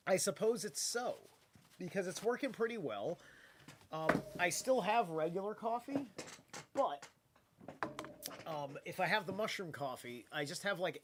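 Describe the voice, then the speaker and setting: This is a tired man with a blocked, stuffy nose, rambling through a casual late-night stream. He talks at a slow, drawn-out pace in a slightly raised voice. He drifts loosely from thought to thought and swears offhandedly. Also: fairly high pitch, noisy background. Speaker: live streamer.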